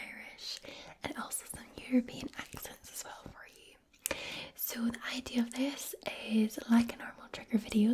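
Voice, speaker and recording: A woman from Scotland hosting an ASMR accent video in a hushed, unhurried whisper. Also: on the high side. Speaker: ASMR video creator and host.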